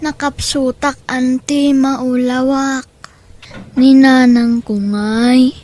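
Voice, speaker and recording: A woman's voice: high-pitched, little pitch movement, drawn-out words, a little mellow. A woman with a Filipino accent voicing a weary, homesick young schoolboy in an audio drama, in a plaintive manner. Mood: weak, homesick. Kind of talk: Ilocano audio drama acting.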